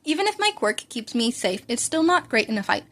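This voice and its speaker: A woman voicing an eager, nerdy middle-school boy who is excitable and playfully sarcastic, in a fan fiction audiobook. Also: fairly high pitch, fairly quick, heavily acted. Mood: defensive.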